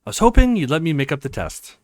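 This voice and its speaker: A man's voice: a little high, sing-song, fast, a little mellow, no echo. A man playing an apologetic, earnest young male college student in a visual novel, with a pleading, sincere manner.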